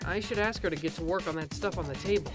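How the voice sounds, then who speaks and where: A woman plays a young male college student in a game fan dub, casual and excitable. The voice sits a little low, with music clearly playing behind it. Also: fast talker, close to an everyday voice. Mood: casual, puzzled.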